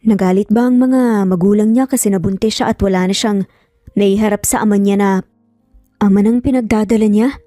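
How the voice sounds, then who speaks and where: A woman's voice: medium pitch, fairly even intonation, a little fast, dark tone, dry recording, studio quality, soft background music, close to an everyday voice. A woman voicing an earnest, emphatic young father in a narrated romance story, torn over his child. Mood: puzzled, insistent. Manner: earnest, emphatic, code-switching.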